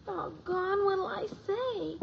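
A woman's voice: very high-pitched, slow pace, creaky, full character voice. An actress playing an anxious, tearful little girl, a tomboy kid sister, in a 1950s radio sitcom. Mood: scared. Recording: dull, faintly noisy old radio recording.

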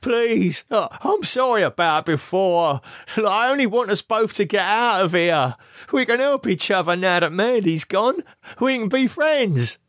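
A crude, manipulative thug, hurt and desperate, put on by a man reading a story. His voice jumps far above the narrator's own into strained, pleading shouts. He snarls and swears in a raw, close, dry sound. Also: sits high, expressive, unhurried, no room sound, voice pushed up.

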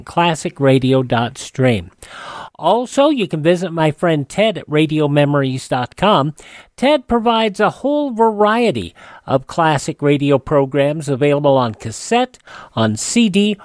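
A man's voice: on the high side, no echo, studio quality. An American man hosting a radio show in a casual, partly scripted style.

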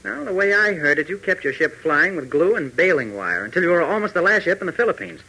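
Young heroic pilot, 1940s radio serial, bright highish voice, steady n persuasive. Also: male voice, quick pace, heavily acted, poor audio. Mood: firm, persuasive. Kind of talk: 1940s radio adventure acting.

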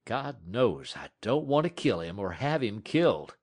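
A man's voice: lowish voice, very animated, measured pace, darkish tone. A man voicing an earnest dog owner who talks urgently and persuasively.

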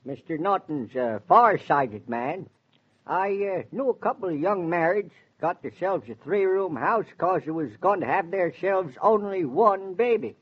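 High, husky old country hand. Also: male voice, animated, a little slow, full character voice. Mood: teasing, sage. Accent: rural American. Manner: rustic, rambling, homespun philosophizing. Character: folksy, talkative, opinionated country handyman, an older man. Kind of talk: 1940s radio drama acting. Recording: dull vintage 1940s radio recording.

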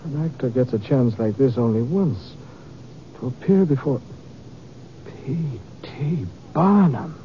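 A man's voice: medium pitch, lively intonation, slow. A man playing a despairing, theatrical stage actor in a 1950s radio drama, declaiming his woes. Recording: muffled, noisy 1950s radio recording.

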